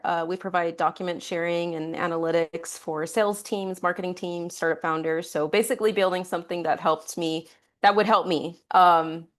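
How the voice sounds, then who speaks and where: A woman introducing her startup career casually on a video call, with frequent pauses and fillers. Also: lowish voice. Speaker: panelist, startup advisor.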